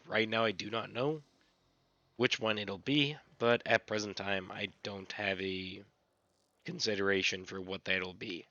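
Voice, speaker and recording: A man with a scratchy, fairly low voice walks through his game build in a relaxed tutorial. His tone is bright and forceful. The recording sounds dull and lo-fi. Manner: relaxed, repetitive explaining. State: recovering from illness. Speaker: gaming channel host. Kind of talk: casual gameplay tutorial.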